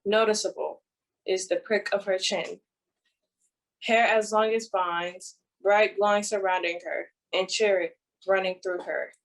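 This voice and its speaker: A woman's voice: medium pitch, rough recording. A woman reading her own poetry aloud as a spoken-word recital. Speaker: poet reading own work.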